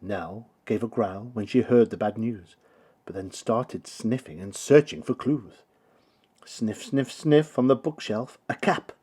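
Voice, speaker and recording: A man reading a rhyming children's story aloud with theatrical, rhythmic, expressive delivery. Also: lowish voice, measured pace, breathy edge. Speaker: storybook reader.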